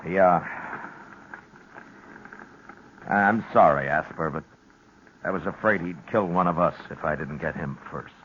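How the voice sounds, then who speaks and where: Very deep, grave Old West marshal. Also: male voice, normal speed, a bit bright, slight background noise. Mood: regretful. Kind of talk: 1950s radio western acting and first-person narration. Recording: dull 1950s radio recording with music. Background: dramatic music.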